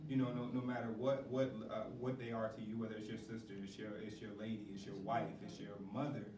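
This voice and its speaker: A man's voice: fairly low pitch, little pitch movement, quick pace, far from the mic. Male interviewee, earnest preacher-like delivery, recorded off-mic in a roomy, noisy space. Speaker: production manager and acting coach for a stage play. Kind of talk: interview talk with preaching, scripture quoting. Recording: roomy echo, off-mic, clear background noise.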